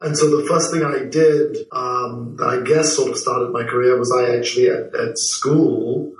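A man in his forties with a mid-pitched voice speaks as a guest, reflective and rambling as he tells stories. He sounds set back from the mic, in a roomy, echoing space. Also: steady tone, moderate pace, far from the mic. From Malaysia and London. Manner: reflective, storytelling with many fillers. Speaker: guest, TV producer and writer. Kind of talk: relaxed interview answers.

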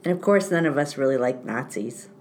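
Low-voiced wry woman storyteller. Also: animated, brisk, darkish tone, faint music behind. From born in Italy, grew up in France. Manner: wry, self-mocking, laughs often. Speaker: podcast host telling personal stories. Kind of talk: casual storytelling chat.

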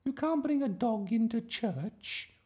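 A performer voicing a flustered, gentle woman schoolteacher in a storybook, hesitant and fussy. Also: medium pitch, expressive, unhurried, breathy edge, voice pushed up.

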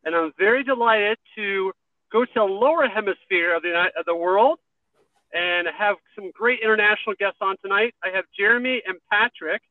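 A man's voice: high-pitched, brisk, no room sound, narrow, tinny audio. A man hosting a radio interview show, friendly and self-deprecating with his guests. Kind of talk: interview host.

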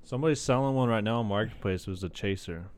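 Man, low-pitched, laid-back, profane, relaxed banter on a podcast mic. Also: quick pace. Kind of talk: casual chat about car builds.

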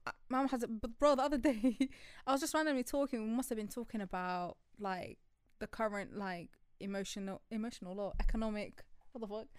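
A young woman, co-hosting a casual chat podcast, telling animated stories with lots of swearing and bursts of laughter. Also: a little high, a little fast, clean tone, no echo, studio quality. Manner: animated storytelling, swears, loud laughing outbursts. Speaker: podcast co-host.

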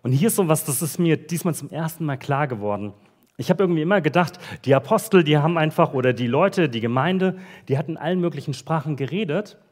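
A man preaching a church sermon in a relaxed, conversational way with casual slang, reading Bible verses in between. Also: on the high side, quick pace. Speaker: pastor. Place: church service.

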